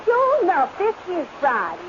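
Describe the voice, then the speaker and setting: Old, noisy and dull radio sound carries a cheeky, deferential maid. The voice is very high, with a broad, folksy in comic caricature. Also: female voice, wildly swooping pitch, moderate pace, heavily acted. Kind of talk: 1930s radio comedy acting.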